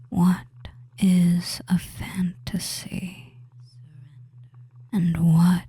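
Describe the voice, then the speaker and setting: Very slow, breathy whispered hypnotist voice. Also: mid-range voice, dark tone, no room sound. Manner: very slow, drawn-out short phrases with long pauses, coaxing and commanding. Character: seductive, commanding, soothing witch hypnotist. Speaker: audio roleplay performer. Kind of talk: whispered hypnosis audio roleplay, slow guided trance.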